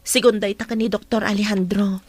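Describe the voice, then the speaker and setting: A woman acting in a radio drama as a concerned, observant friend with a hushed, confiding way of talking. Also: medium pitch, little pitch movement, a little fast, dry recording, natural voice.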